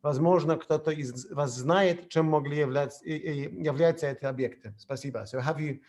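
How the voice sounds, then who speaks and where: Higher-voiced man moderating a Q&A. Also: brisk, a little mellow. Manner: apologetic, out of practice in Russian. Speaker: event moderator. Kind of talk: reading audience questions.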